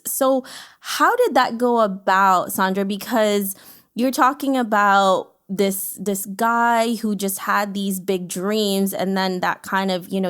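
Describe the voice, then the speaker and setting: A woman's voice: medium pitch, smooth. A woman hosting a podcast interview, upbeat and effusive as she introduces and questions her guest. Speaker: podcast host.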